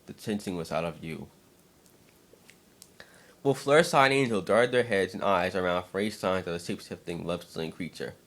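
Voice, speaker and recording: Low-voiced man narrating a story. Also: a little fast, long pauses, a bit bright. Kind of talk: dramatic reading of fan fiction, scripted narration.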